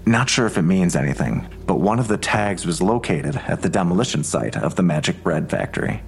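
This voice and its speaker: An actor playing a terse, skeptical police detective in an audio drama, with a dry, deliberate, understated way of talking. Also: male voice, low-pitched, big pitch swings, gravelly, soft background music, full character voice. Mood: measured, wary. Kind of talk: full-cast audio drama dialogue.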